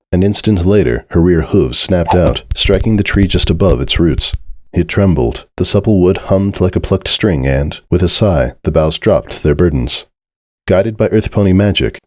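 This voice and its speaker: A man's voice: low voice, a little mellow, no room sound, clean studio sound. A man reading a scripted story as narrator, in a dramatic storytelling style.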